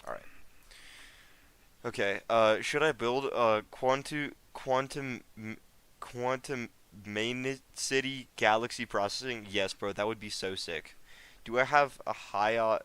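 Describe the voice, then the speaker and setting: A man's voice: medium pitch, takes it slowly, fairly bright tone, clean tone. A teenage American guy streaming a game, chatting casually with viewers in a rambling, slangy way. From United States. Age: teens. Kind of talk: casual live stream chat.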